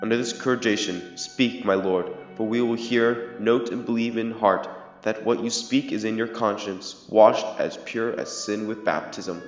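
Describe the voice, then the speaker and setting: A man acting a solemn young king in an amateur Shakespeare audio drama, speaking verse in a formal, measured, grave way. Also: lowish voice, fairly even intonation, natural voice. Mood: grave warning. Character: solemn young king of England in a history play. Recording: echoey hall, muffled, music behind.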